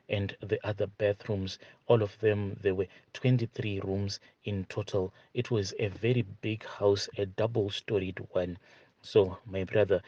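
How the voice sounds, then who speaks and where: A man's voice: on the low side, a little mellow, dry recording. A man hosting a storytelling channel, reading a listener's confession aloud in a plain first-person retelling with repeated asides. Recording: dull lo-fi dry audio.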